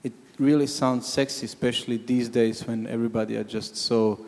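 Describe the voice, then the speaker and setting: A man from Croatia, commenting from the audience at a panel discussion, halting with many restarts. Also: mid-range voice, fairly even intonation, darkish tone. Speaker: audience member. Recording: long hall reverb.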